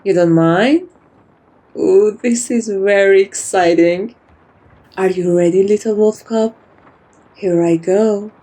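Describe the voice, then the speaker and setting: A dominant yet kind female vampire, played by a woman, speaks softly in an intimate ASMR roleplay. Her voice is mellow and medium-pitched, and she takes her time over every phrase. She teases and coos, doting on the listener like a beloved pet. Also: takes it slowly, pauses often. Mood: gentle, commanding. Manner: soft-spoken, teasing, cooing, addresses listener like a pet. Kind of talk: soft-spoken ASMR roleplay.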